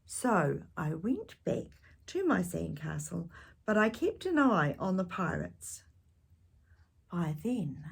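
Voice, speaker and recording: A woman's voice: medium pitch, gravelly, breathy edge. A woman hosting a children's storytime, reading a picture book expressively with wondering asides to young listeners. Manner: animated, gasping and hushed wondering asides.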